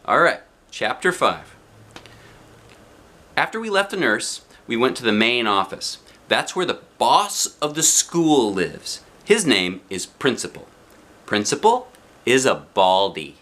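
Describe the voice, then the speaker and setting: A man reading a children's storybook aloud in an expressive narrating style with short, simple phrases. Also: medium pitch, takes it slowly. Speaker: storybook reader.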